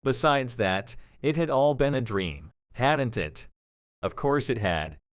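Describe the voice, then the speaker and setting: A man narrating a scripted dramatic story in a measured, expressive storytelling manner. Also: medium pitch, no echo, clean studio sound.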